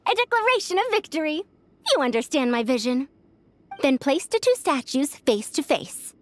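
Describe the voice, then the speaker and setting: A grandiose scholarly madam, very high youthful voice, lively swooping intonation, formal theatrical diction, video game character. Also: female voice, normal speed, soft background music. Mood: proud, delighted. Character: youthful-sounding grande dame scholar, pompous and old-fashioned.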